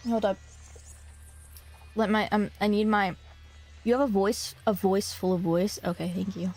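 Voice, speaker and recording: A young woman streaming, talking casually to her chat in slang and reading game text aloud, a little hoarse from a cough. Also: mid-range voice, little pitch movement, a little slow, darkish tone. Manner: slangy, rambling, talks to chat.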